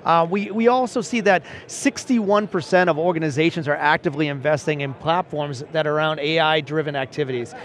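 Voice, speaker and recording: A man's voice: a little high, a little fast, few pauses. A man in his forties, a principal analyst, steadily explaining research findings in a seated conversational interview at a convention booth. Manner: steady explanatory, cites statistics, frequent "right?". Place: busy convention show floor booth.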